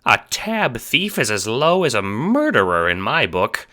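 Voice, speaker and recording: A man's voice: mid-range voice, wildly swooping pitch, measured pace, bright, forceful tone, voice pushed up, full character voice. A man voicing a small, earnest, pompous shelled creature who is a mayor, emphatic and grandiose, in an audio drama. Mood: indignant sympathy. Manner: grandiose, old-fashioned wording, emphatic. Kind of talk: audio drama character acting.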